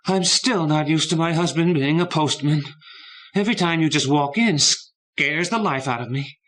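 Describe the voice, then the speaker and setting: A man's voice: on the high side, moderate pace, fairly bright tone, pitched above the performer's own voice, natural voice. A man doing a jumpy, flustered housewife. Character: flustered small-town housewife, jumpy and sharp.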